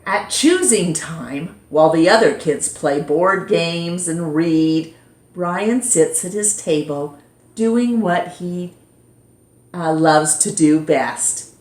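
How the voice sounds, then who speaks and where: A woman reading a children's picture book aloud in an expressive, deliberate storytime manner. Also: fairly low pitch. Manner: animated, deliberate, dramatizes dialogue for young listeners. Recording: roomy echo.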